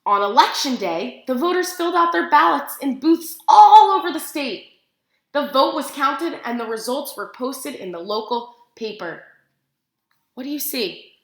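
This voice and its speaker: A woman teacher reads a picture book aloud to preschoolers in an animated, expressive way, using simple phrases and putting questions to her young listeners. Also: high-pitched, unhurried. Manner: animated, expressive, simple child-directed phrasing with gasps for effect. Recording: echoey room sound.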